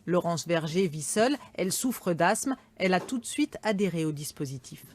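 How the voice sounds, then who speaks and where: A woman's voice: lowish voice, lively intonation, normal speed, a crowd in the background. A woman reporter reading scripted narration for a television news report.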